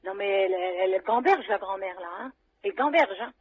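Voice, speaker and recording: A woman's voice: medium pitch, lively intonation, fast, slightly rough, no room sound. A woman in a casual phone conversation, exclamatory and indignant in manner, often repeating herself. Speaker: animal shelter manager answering a phone call. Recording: dull, noisy phone-call recording.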